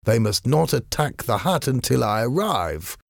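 Guy doing a bored posh-mannered gentleman hero for a storybook, slow drawl, raised pitch, dry close sound. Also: very animated, creaky, no echo, heavily acted. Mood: calm explaining. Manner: drawling, nonchalant, courteous. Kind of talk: acted audiobook dialogue.

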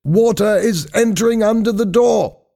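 Guy doing a storybook scientist diver, way up high in pitch, exclaims everything, urgent. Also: big pitch swings, slow, a little mellow, heavily acted. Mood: worried, impatient. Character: male scientist diver, eager then alarmed and impatient.

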